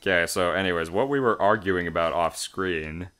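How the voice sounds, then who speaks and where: A man's voice: low voice, normal speed, a bit bright, clear, resonant tone. A man hosting a gaming show, bantering casually and reading aloud over a video game.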